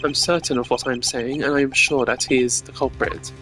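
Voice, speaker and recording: A man voicing a composed, formal prosecutor from a courtroom video game, speaking in a measured, declamatory way. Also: mid-range voice, fairly even intonation, music in the background, close to an everyday voice. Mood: certain, reasoning.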